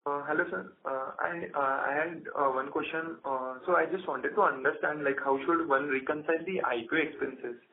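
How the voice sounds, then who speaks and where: A man's voice: a little high, moderate pace, few pauses. A man asking polite, hesitant questions as an analyst on an earnings call. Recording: noisy, tinny phone line.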